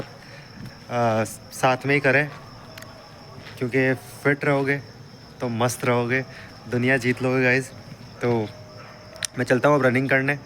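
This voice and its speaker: Hoarse young man vlogging with road noise. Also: mid-range voice, fairly bright tone, rough recording. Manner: upbeat, pep-talk style, addresses viewers as guys. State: hoarse, blocked nose. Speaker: fitness vlogger. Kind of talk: casual vlog talk to camera. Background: street traffic and wind.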